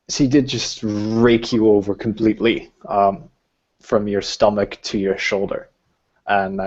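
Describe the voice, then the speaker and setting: A man with a low voice talks slowly through a tabletop roleplaying session. He mutters counts and rules hesitantly, in casual game talk. The audio is dull and lo-fi, like an online call. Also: darkish tone, slightly rough. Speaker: tabletop roleplaying game master or player rolling combat.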